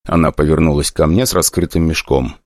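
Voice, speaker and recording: A man narrating a fantasy audiobook in an expressive, ironic storytelling manner. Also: very deep voice, fairly quick, no room sound, studio quality.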